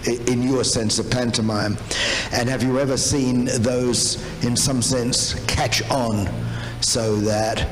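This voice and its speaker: A man asking long, formally phrased academic questions in a measured, deliberate way. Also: mid-range voice, fairly even intonation. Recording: echoey hall with noise.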